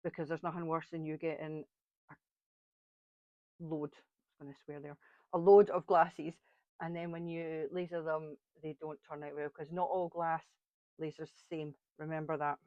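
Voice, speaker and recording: A woman's voice: sits low, little pitch movement, normal speed, long pauses, dark tone, no echo, lo-fi sound. A woman with a Scottish accent, chatting her way through a step-by-step crafting tutorial with blunt, frank asides. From Scotland.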